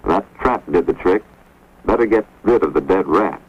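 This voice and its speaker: A man's voice: deep voice, animated, breathy edge. Grave man narrating an old film. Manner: measured, grave, authoritative delivery. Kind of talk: scripted educational narration. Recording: dull, lo-fi 1950s film soundtrack.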